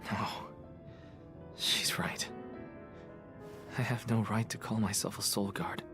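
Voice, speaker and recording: A man voicing an earnest young guardian hero in a video game, halting and weighed down by guilt. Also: fairly low pitch, steady tone, music in the background. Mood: ashamed. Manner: halting, heavy-hearted. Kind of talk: video game cutscene acting.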